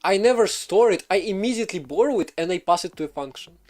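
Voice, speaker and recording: Rambling man, fairly high voice. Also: lively intonation, fast, a little mellow. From Belarus. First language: Russian. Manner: rambling, many fillers and restarts, laughs often. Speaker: streamer. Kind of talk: casual live coding stream chat.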